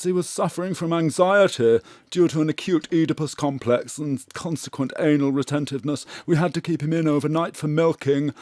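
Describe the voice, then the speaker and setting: An actor playing a pompous, condescending cat therapist with a patronising manner in a comic audio drama. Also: male voice, a little high, a little mellow, no room sound, clean studio sound, natural voice.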